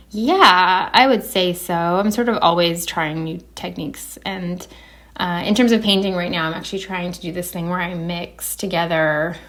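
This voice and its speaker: A woman with a Canadian accent giving casual, unscripted interview answers, a bit hesitant as she thinks aloud. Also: lowish voice. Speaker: artist being interviewed.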